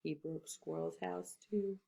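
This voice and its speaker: A woman reading a picture book aloud to children, slow and expressive, stopping to ask questions. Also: sits low, vocal fry. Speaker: read-aloud storyteller. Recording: roomy echo.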